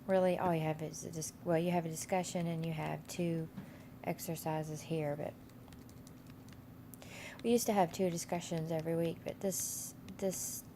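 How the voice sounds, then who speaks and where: Woman instructor, stuffy with a cold, gravelly and flat, rambling and full of fillers. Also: sits low, moderate pace, a little hiss or hum. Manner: rambling with many fillers and restarts. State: has a cold, stuffy nose. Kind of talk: casual screen-share course walkthrough.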